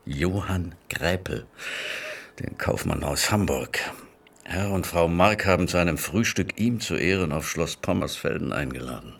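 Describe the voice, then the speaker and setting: An actor playing a chatty gentleman friend passing on society news in a radio drama. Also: low voice, expressive, measured pace, gravelly, recorded from a distance, heavily acted. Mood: matter-of-fact. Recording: voice a little away from mic.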